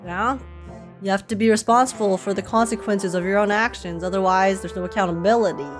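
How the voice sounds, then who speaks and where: A woman's voice: mid-range voice, fairly quick. A woman doing a casual live reaction to a game. She muses and thinks aloud in a giggly, easygoing way, with some music playing behind her. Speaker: streamer reacting to a game story.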